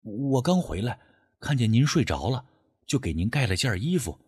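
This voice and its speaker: Man voicing an anxious, husky apprentice. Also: mid-range voice, sing-song, moderate pace, pitched below the performer's own voice. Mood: nervous, deferential. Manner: deferential, hesitant. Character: respectful, anxious male apprentice to an old master.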